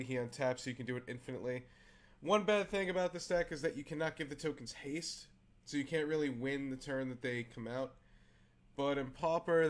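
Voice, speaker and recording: A man who is feeling unwell, with a raspy voice, hosting a casual card game show and talking through a deck with many fillers and restarts. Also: mid-range voice. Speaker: host.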